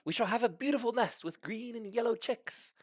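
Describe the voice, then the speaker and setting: A man plays a young male dragon hopelessly in love, in a comic storybook style. The voice is raised high and pushed loud, full of ardent, melodramatic pleading. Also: brisk, no echo, studio quality. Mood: earnest pleading.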